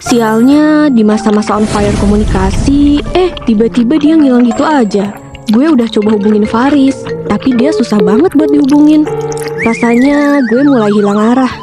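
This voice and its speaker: A young woman narrating a scripted first-person ghost story in casual slang, chatty and expressive, talking straight to listeners. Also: fairly high pitch, normal speed. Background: background music.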